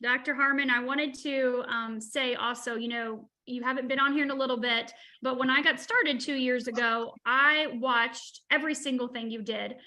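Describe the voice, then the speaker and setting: Bright, high-voiced woman in her forties. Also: fairly even intonation, normal speed, few pauses. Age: 40s. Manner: explanatory, enthusiastic. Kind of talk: presenting research in a webinar.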